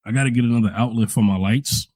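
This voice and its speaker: A man co-hosting a podcast, breaking in with quick, offhand asides. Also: mid-range voice, darkish tone. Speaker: podcast co-host. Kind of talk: casual chat.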